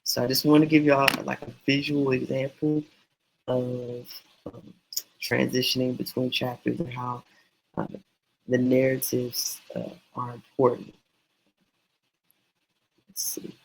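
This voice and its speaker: A man teaching writing in a casual, meandering, encouraging way, his voice going hoarse. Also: medium pitch, little pitch movement, measured pace, long pauses, slight background noise, low-quality recording. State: losing voice, hoarse. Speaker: self-published author teaching writing.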